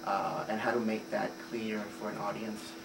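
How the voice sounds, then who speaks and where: A man's voice: fairly low pitch, level tone, moderate pace. A man from Puerto Rico, a theater director, giving thoughtful interview answers, fluent but with frequent filler sounds. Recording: roomy, distant mic, noisy ambience.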